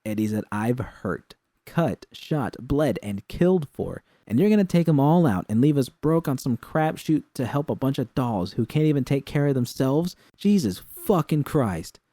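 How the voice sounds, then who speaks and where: Man playing a blunt, scornful mercenary woman, mellow tone. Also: medium pitch, animated, moderate pace, hardly any pauses, dry recording, voice pushed up. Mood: angry. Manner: blunt, mocking, foul-mouthed.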